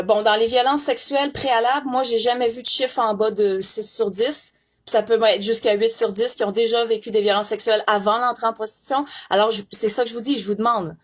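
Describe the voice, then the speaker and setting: A young woman with a Québécois French accent, giving an emphatic, indignant testimony with frequent restarts. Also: fairly high pitch. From Canada. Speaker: former sex industry worker giving testimony. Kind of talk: impassioned testimony.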